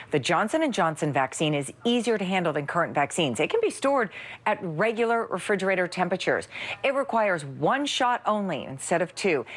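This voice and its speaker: An American woman giving a live news report with emphatic delivery as a reporter. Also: fairly low pitch.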